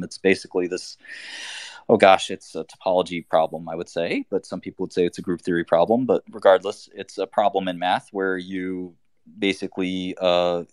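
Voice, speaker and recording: A man's voice: sits low, darkish tone, no room sound, clean studio sound. A man from Albania hosting a live audio chat, talking loosely with many fillers and restarts. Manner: rambling, lots of fillers and restarts. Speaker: host.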